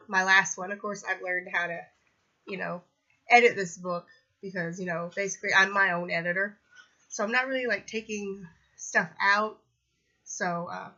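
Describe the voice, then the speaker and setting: A woman's voice: medium pitch, pushed and bright, dull audio. A young woman with a slight cold, sniffly and congested, talking casually about her latest book in a rambling way, full of ums and you knows. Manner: rambling, lots of fillers like um and you know. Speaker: self-published author vlogging. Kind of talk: casual update vlog.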